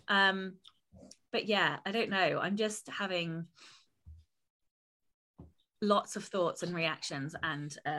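A young adult woman co-hosting a casual podcast, animated and rambling, laughing often. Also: on the low side. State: voice lower than usual. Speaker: podcast co-host. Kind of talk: casual chat.

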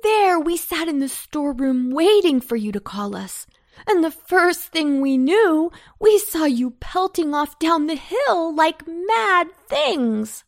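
A woman's voice: very high voice, sing-song, takes it slowly, pitched above the performer's own voice, full character voice. A woman voicing indignant, panting cabbages in a children's storybook, complaining. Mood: breathless indignation.

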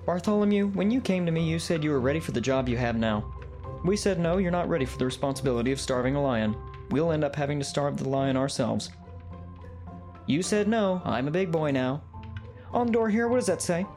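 An officious male pit boss acted by a man in a comedy audio drama, with a somewhat high voice. He delivers pompous, deadpan corporate patter with thinning patience, over light music. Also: brisk. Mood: exasperated.

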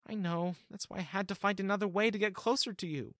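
A man's voice: high voice, fast talker, a bit bright, no room sound, studio quality, voice pushed up. A man putting on a coy scheming older woman. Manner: wheedling, insistent, coy.

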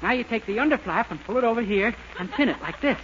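A man's voice: extremely high pitch, lively intonation, fast talker, a bit bright. An American man playing a naive, eager, polite young man in a 1940s radio sitcom. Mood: naive eagerness. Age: young adult. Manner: innocent, earnest, polite. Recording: muffled, very noisy 1940s radio recording.

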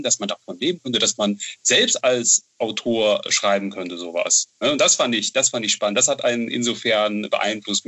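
A man's voice: on the low side, pushed and bright, lo-fi sound. A man in his thirties chatting casually as an interview guest, often tagging his phrases with a questioning filler. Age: 30s. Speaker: horror novel author, interview guest.

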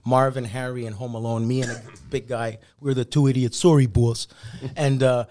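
A middle-aged man, a comedian whose first language is Italian, telling anecdotes on a podcast with lively restarts. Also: mid-range voice, normal speed. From Canada. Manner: animated anecdotes with laughs and restarts. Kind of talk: casual storytelling interview.

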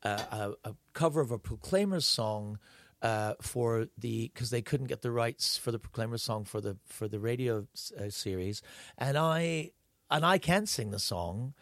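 A man's voice: mid-range voice, lively intonation, normal speed, dry recording. Male podcast host, enthusiastic, rambling, dry close sound. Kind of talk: casual podcast chat.

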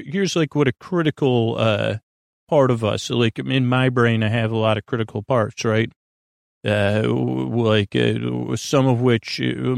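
A man hosts a bedtime podcast in a gravelly, fry-laden voice at an ordinary male pitch. He wanders through his monologue, halting and doubling back on his own sentences. The sound is dry and close, with little room around it. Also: dry recording. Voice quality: creaky. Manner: meandering, halting, self-interrupting. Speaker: podcast host. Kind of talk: rambling sleep podcast monologue.

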